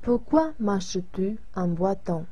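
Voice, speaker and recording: A woman voicing Anne, a caring young woman friend, read out slowly and evenly in a language-lesson dialogue. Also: medium pitch, expressive, dark tone, slightly rough. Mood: concerned.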